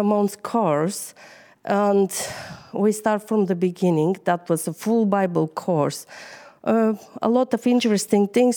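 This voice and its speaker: A woman with a Bulgarian accent speaks slowly and haltingly to a church group, full of fillers and restarts. Her voice is dark and mellow. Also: medium pitch. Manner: hesitant, many fillers. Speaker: church member giving a talk. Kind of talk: informal sermon.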